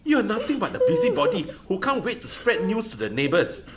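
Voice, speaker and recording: A man acting in a radio drama as a gruff, loyal, combative former night soil collector, with a Singaporean accent and blunt, scolding speech. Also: sits high, huge pitch swings, fairly quick, heavy background noise. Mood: angry, mocking. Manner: blunt, scolding, Singlish particles.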